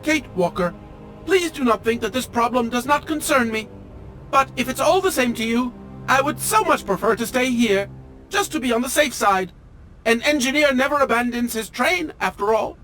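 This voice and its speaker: A man's voice: extremely high pitch, animated, moderate pace, bright, forceful tone, soft background music, exaggerated voice, poor audio. A man playing a pompous, melodramatic automaton train engineer in a video game, with formal, fussy and theatrical diction. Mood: plaintive, self-pitying. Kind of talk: video game character acting.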